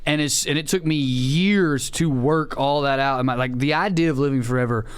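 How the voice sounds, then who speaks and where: A man's voice: medium pitch, normal speed, clear, resonant tone. A man co-hosting a casual comedy podcast, irreverent and profane, tossing in quips. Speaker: podcast host.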